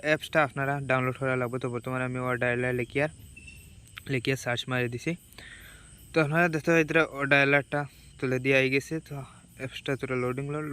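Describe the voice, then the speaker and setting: A man's voice: mid-range voice, a bit bright, clear, resonant tone. A man with a Sylheti accent giving a casual step-by-step phone tutorial, repeating himself as he instructs.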